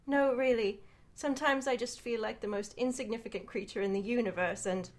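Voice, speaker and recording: A woman's voice: fairly high pitch, steady tone, brisk, voice pushed up, natural voice. A woman voicing a weary, fussy, put-upon grown man in a read-aloud story, grumbling and prim. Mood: tearful. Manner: grumbling and prim, easily flustered. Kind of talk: storybook reading.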